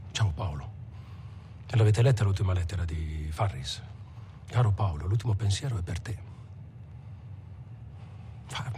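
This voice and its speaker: An actor playing a proud, menacing gangster, speaking of his comrades in an intense, halting film monologue. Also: fairly low pitch, darkish tone, slight background noise, lightly acted.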